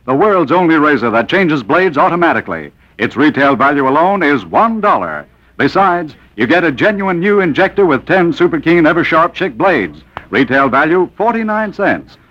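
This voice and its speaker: A man delivering an emphatic, scripted 1940s radio sales pitch as an announcer. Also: sing-song, moderate pace, a bit bright. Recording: muffled old radio broadcast.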